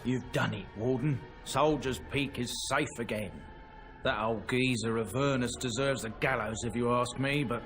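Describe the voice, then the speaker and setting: An actor voicing an earnest young commoner who speaks plainly and with some hesitation. His voice is of middling pitch. A loud music score fills the game audio behind him. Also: close to an everyday voice. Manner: plain, humble, hesitant talk. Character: earnest young man seeking family redemption. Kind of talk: video game cutscene dialogue.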